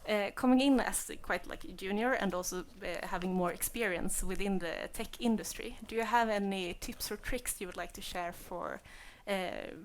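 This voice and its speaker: A woman's voice: mid-range voice, normal speed, few pauses, a little hiss or hum. A woman moderating a panel discussion, asking questions with many hesitations and fillers.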